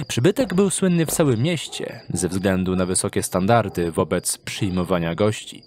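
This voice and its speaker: A man narrating a scripted dark fantasy story as the narrator of an audio drama. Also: mid-range voice, slight background noise.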